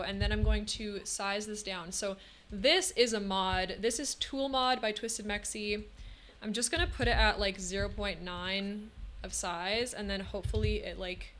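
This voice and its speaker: A woman's voice: mid-range voice, a bit bright, slight background noise. Young woman streamer thinking aloud. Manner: chatty, thinking aloud with frequent hmms and ums. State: throat feels scratchy and hard to swallow. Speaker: game streamer. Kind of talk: casual live-stream commentary while building in a game.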